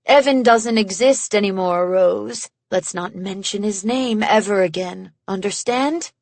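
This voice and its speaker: A woman's voice: medium pitch, a little slow, a little mellow, no room sound, lightly acted. A woman voicing a bitter, volatile genius of a man in an audiobook, intense, condescending and mocking. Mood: curt, condescending. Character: bitter, volatile, self-important genius man, haggard and snide. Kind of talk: audiobook character acting.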